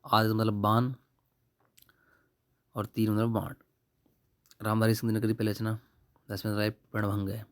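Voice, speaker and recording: A man's voice: on the low side, level tone, a little slow, long pauses. A young man, informally going through exam questions and answers as a tutor in an offhand, colloquial manner. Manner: offhand, colloquial, addresses viewers as brother. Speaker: exam-prep YouTube tutor.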